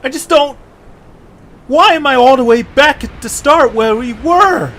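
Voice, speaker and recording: A man playing an excitable, earnest young adventurer leading a stranded group in an audio drama. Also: very high voice, measured pace, heavily acted. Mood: disbelieving. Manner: exclamatory, animated, earnest. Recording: clear background hiss and noise.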